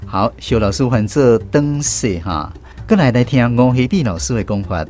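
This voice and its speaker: Male radio quiz host, Taiwanese accent, animated intonation, light music underneath. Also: mid-range voice, normal speed. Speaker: host of a language-teaching radio segment. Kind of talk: quiz hosting, guiding discussion. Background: music bed.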